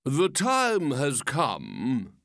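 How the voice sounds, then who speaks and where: A pompous storybook walrus played by a man rolls out each phrase very slowly and grandly. The voice swoops up and down, wheedling and full of sly, false sympathy. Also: mid-range voice, wildly swooping pitch, a bit bright, no room sound, studio quality, exaggerated voice. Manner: slow, drawn-out, grandly theatrical delivery. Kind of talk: storybook verse character voice.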